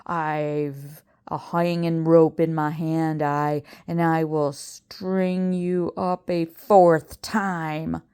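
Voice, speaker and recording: A woman voicing a pompous, menacing showman professor who conjures ghosts, with a commanding, drawn-out theatrical delivery in a storybook reading. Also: low-pitched, level tone, dark tone, clear, resonant tone. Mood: impatient snarling.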